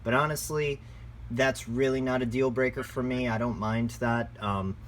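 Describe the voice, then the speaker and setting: A man's voice: mid-range voice, steady tone, normal speed, a little hiss or hum. A man giving a casual, unscripted product review as a shop presenter, in a conversational, enthusiast way.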